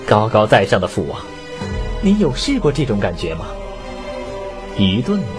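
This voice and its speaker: A man's voice: on the low side, wildly swooping pitch, unhurried. A man voicing a cunning, resentful young crown prince, mocking and intense, in a Chinese costume radio drama. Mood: bitter fury. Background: background music.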